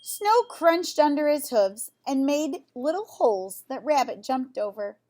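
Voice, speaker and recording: A woman narrates a children's picture book in a high, very lively voice. She is expressive and deliberate, taking her time with each phrase. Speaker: storybook reader. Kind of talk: children's read-aloud narration with brief asides.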